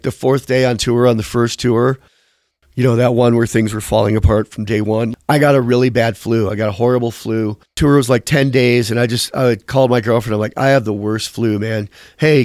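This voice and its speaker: A man's voice: mid-range voice, a little mellow, dry recording, studio quality. A man being interviewed as a musician, telling candid, rambling stories and swearing casually. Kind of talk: candid conversational storytelling.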